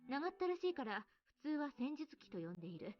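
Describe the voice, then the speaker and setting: A woman's voice: sits high, very animated, racing, highs cut off. An actress playing a cool, condescending, teasing woman in a Japanese sci-fi visual novel, speaking matter-of-factly. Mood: explaining. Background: game music.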